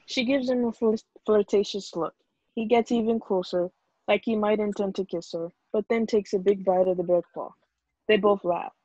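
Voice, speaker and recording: A woman's voice: mid-range voice, fairly even intonation, normal speed, warm tone, no echo. A woman narrating a script at a table read, reading out stage directions over a video call. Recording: dull lo-fi video call audio.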